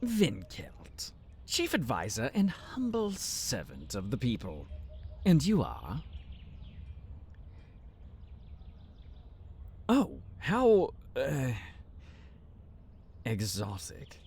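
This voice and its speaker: An actor playing a pompous, conniving town advisor in a fantasy audio drama, haughty and mock-polite in a drawn-out delivery. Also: male voice, mid-range voice, wildly swooping pitch, a little mellow, breathy edge, full character voice. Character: pompous, conniving male town advisor, smug and easily enraged. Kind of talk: fantasy audio roleplay acting.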